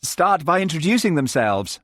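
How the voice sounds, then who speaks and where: A man voicing a nervous, brightly cheerful male fishing instructor. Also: a little high, big pitch swings, normal speed, no echo, clean studio sound, voice pushed up.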